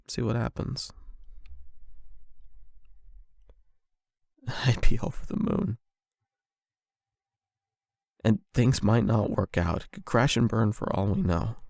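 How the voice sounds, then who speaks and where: Guy doing a nervous young dude confessing feelings, asmr roleplay, awkward and stammery, gravelly, really dry close sound. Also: lowish voice, a little mellow, dry recording. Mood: earnest, vulnerable. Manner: halting, awkward, self-doubting, with sighs and stammers. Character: nervous lovestruck young man confessing to an online friend. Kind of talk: intimate ASMR roleplay monologue.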